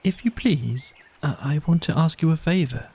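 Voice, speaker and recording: A man's voice: fairly high pitch, lively intonation, moderate pace, darkish tone, breathy edge, pitched above the performer's own voice, full character voice. A man voicing a polite, candid little storybook mole with an earnest, cautious and agreeable manner. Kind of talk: storybook character voice in an audio play.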